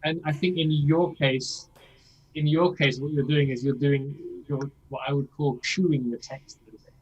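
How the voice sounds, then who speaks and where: A man's voice: fairly high pitch, fairly even intonation, normal speed, a little mellow, muffled recording. A man coaching a singer in a masterclass, explaining things and checking in with "yeah?" as he demonstrates lines himself. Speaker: vocal coach.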